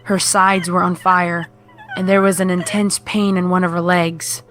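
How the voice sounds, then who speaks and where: A woman's voice: on the low side, flat delivery, normal speed, fairly bright tone. A woman reading out a horror story as scripted narration. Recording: music playing behind the voice.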